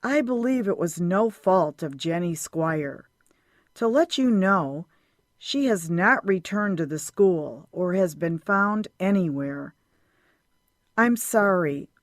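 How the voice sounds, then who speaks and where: Casting: actress as grave schoolmistress for radio play, unhurried, lowish female voice, regretful but firm. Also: expressive, dry recording, studio quality. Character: stern, regretful woman school official. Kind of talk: audio drama acting.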